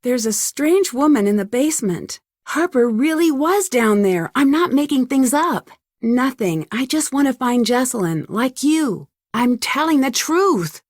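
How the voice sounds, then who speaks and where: Young american girl detective for a pc mystery game, curious n polite, higher voice, very dry studio sound. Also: animated, a little slow, no room sound. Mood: earnest questioning. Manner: earnest, inquisitive, polite, clearly enunciated. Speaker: voice actor for a game's detective heroine. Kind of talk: scripted adventure game dialogue.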